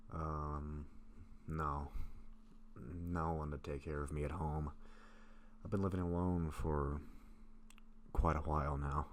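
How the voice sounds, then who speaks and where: A man acting a gentle, bashful, sick vampire in an intimate roleplay audio, speaking softly and haltingly to a close friend. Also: very deep voice, a little hiss or hum. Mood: weary, evasive. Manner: soft-spoken, hesitant, halting with pauses.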